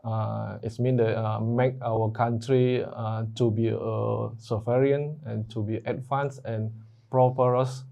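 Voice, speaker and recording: A man speaking on a roundtable panel, pausing often with fillers as he searches for words. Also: lowish voice, level tone, vocal fry, dark tone. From Indonesia. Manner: halting, many filler pauses. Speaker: technical VP, panelist. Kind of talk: roundtable discussion. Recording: muffled lo-fi audio.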